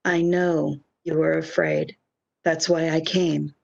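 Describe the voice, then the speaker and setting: A woman playing a calm, reassuring phantom lover, speaking soothingly and measuredly in an amateur radio drama. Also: low-pitched, vocal fry, muffled recording, heavily acted. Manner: soothing, measured, brief answers.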